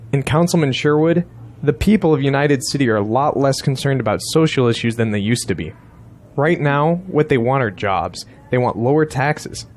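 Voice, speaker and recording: Actor as a polished, confident political strategist. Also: male voice, mid-range voice, a little hiss or hum, natural voice. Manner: polished, persuasive, deferential yet blunt. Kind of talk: audio drama acting.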